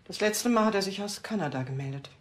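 An actress playing a bitter, curt abandoned wife of about sixty in a TV crime series. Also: female voice, mid-range voice, animated, quick pace, lightly acted. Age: around 60.

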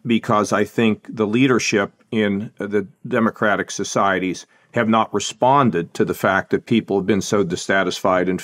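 A man's voice: medium pitch, a bit bright. An older man hosts a casual political podcast. His mid-range voice wanders through loose sentences that he restarts and corrects. He chuckles between points. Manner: rambling, self-correcting, with chuckles. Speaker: podcast host.

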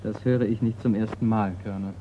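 A man's voice: fairly low pitch, steady tone, a little fast, close to an everyday voice. Man acting a cool detective on tinny radio. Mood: cool, firm. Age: young adult. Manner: dry, unflustered. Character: cool, cheeky young insurance detective. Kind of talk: German radio crime drama acting. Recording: tinny, narrow-band old radio recording, noisy.

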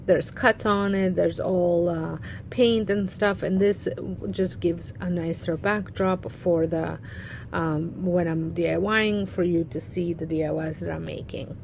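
A middle-aged woman chats her way through a home craft room, her voice low-pitched and warm-toned. She rambles easily, with many fillers and restarts. The phone recording carries a faint background hiss from the basement space. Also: steady tone, measured pace, creaky. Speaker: home organizing vlogger. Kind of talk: casual walkthrough vlog. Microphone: phone camera, handheld and on a stand.

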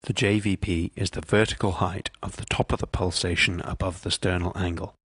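Deep male narrator doing measured step by step medical teaching, bit husky, dry sound. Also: fairly even intonation, a bit bright, dry recording. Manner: measured, precise, impersonal. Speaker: instructional narrator. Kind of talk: scripted medical instruction narration.

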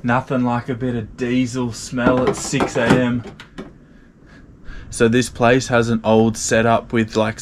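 A man's voice: medium pitch, a little hiss or hum. A man vlogging casually from a remote island lighthouse, chatting as he walks viewers through his morning chores. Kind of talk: casual first-person vlog narration.

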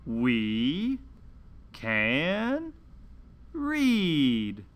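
A man hosting a children's read-along lesson, reading a script slowly and deliberately with careful enunciation and sing-song emphasis. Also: a little high, huge pitch swings, smooth.

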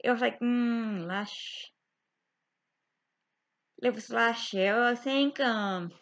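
A young woman chatting to her webcam from a small bedroom, halting, with many fillers and restarts. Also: on the high side, a bit bright. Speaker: vlogger. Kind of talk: casual chat to camera. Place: small bedroom with window blinds. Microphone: webcam at close range. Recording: dull lo-fi webcam audio.

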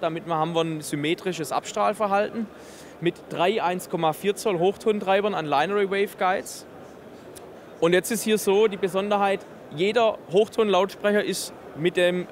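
High-pitched man explaining technical products. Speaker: exhibitor presenting a loudspeaker system. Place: busy trade fair booth in a large hall. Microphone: handheld interview microphone held by interviewer.

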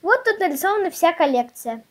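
A female host chatting casually in a toy unboxing video, showing things step by step in a simple, halting way. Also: very high voice, big pitch swings. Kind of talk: casual unboxing vlog chat.

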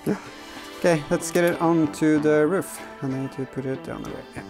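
A man's voice: a little high, steady tone, fairly quick, over music, rough recording. A man in his thirties giving casual, hesitant hands-on commentary, thinking aloud as he works in a garage workshop. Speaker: car DIY vlogger. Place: garage workshop and inside the back of a car.

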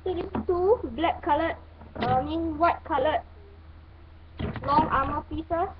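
High-pitched woman on muffled, noisy recording. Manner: halting step-by-step instructions. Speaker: toy review host. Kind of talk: hands-on toy building tutorial. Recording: muffled phone-like audio, clear background noise.

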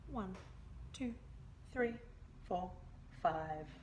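A young woman reads a picture book aloud to children in an expressive, emphatic storytelling style. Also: medium pitch, drawn-out words. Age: young adult. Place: small indoor room by a window.

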